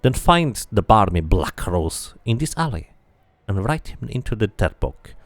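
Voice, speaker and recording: A man's voice: on the low side, big pitch swings, unhurried, dry recording. A man voicing a commanding female gang leader who speaks formally and coolly. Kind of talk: video game character reading.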